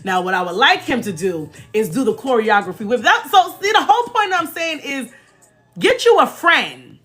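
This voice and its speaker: An American woman in her thirties chatting casually as a livestream host, telling stories in an animated, playful, teasing way. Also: high voice, moderate pace, soft background music. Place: small indoor room in front of a banner.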